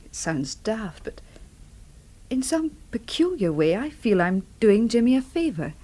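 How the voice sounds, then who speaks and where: Animated, motherly village widow. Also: on the high side, unhurried. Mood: frank, unsentimental. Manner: homely, forthright, unfussy. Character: frank, motherly middle-aged village widow, good-natured. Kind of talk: radio drama dialogue.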